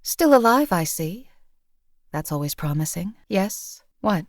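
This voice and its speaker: A woman's voice: mid-range voice, sing-song, unhurried, dry recording. A woman playing a composed female assassin for hire in a video game, speaking in a dry, matter-of-fact way. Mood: businesslike. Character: cool, lethal female assassin companion for hire. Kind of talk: video game companion voice lines.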